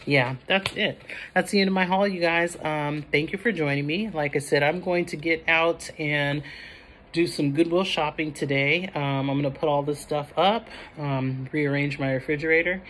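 A woman's voice: low-pitched, fairly even intonation, normal speed, fairly bright tone, a little hiss or hum. A middle-aged or older American woman chatting casually to the camera in a rambling, self-correcting way. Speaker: vlogger showing a grocery haul. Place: indoor room at home.

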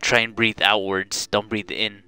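A woman's voice: extremely low pitch, level tone, a little slow, pushed and bright, clean tone, dry recording, faint music behind. A woman streamer chatting casually and playfully bossing her friend around during a game stream. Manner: playful, bossy, coaching. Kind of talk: casual chat while gaming.

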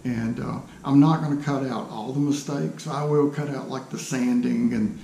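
A man in his sixties speaks with a creaky, mellow voice. He talks casually and haltingly, playing himself down as he goes. The sound is roomy, picked up by a camera in a small workshop. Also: medium pitch, fairly even intonation. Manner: halting, hesitant, self-deprecating. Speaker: woodturner introducing his channel. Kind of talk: casual unscripted vlog talk to camera. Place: small cluttered home workshop, indoors. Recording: roomy workshop echo, faint background noise.

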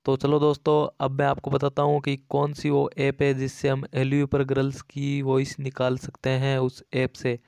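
A man's voice: medium pitch, monotone, normal speed, creaky, hardly any pauses, darkish tone, clean tone, no echo, clean studio sound. A man giving a casual, repetitive step-by-step app tutorial as a voice-over, addressing viewers as friends. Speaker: tech tutorial YouTube host.

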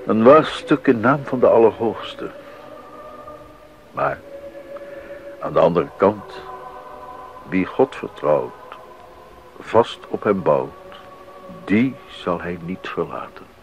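A man's voice: low voice, sing-song, slow pace, long pauses, faint music behind, exaggerated voice. An actor playing an earnest, devout male composer in a 1980s radio drama, speaking in a formal, emphatic way. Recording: slightly dull 1980s radio recording.

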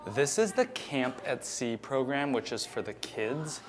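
A man's voice: mid-range voice, sing-song, a little slow, background noise. A man in his thirties giving a chatty, upbeat walking tour of a cruise ship, talking to a camera held at arm's length. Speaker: cruise vlogger. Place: cruise ship interior and decks. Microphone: handheld camera at arm's length.

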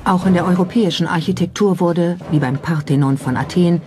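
A woman's voice: lowish voice, a little fast. A woman delivering scripted documentary narration. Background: music behind the voice.

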